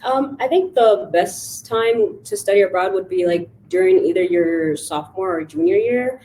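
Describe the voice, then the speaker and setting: A soft-spoken young woman from Kenya, a student panelist, who fills her answers with "like.". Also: lowish voice, steady tone, normal speed, a little hiss or hum, rough recording. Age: young adult. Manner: soft-spoken, heavy use of like.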